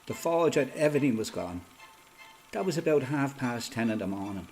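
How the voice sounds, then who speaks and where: A man with an Irish accent playing a resolute, plain-spoken freedom fighter giving a matter-of-fact, measured first-person testimony in a radio play. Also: medium pitch, expressive, darkish tone, soft background music, lightly acted. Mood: matter-of-fact recollection.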